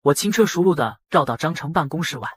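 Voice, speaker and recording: A man with a husky voice narrating a dramatized first-person story. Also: a little high, animated, fast talker, fairly bright tone, no echo, clean studio sound. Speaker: narrator and voice of the male lead.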